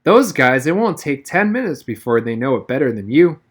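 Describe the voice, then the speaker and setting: Earnest schoolboy, voiced by a man, pushy, persuasive, raised pitch, storybook dialogue. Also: big pitch swings, moderate pace, slightly rough. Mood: insistent. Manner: insistent, persuasive. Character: earnest, pushy middle-school boy, a troublemaker.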